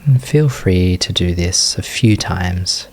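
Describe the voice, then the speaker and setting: A man leading a guided meditation as a teacher, giving unscripted, gentle instruction in short phrases with long pauses. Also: sits low, animated.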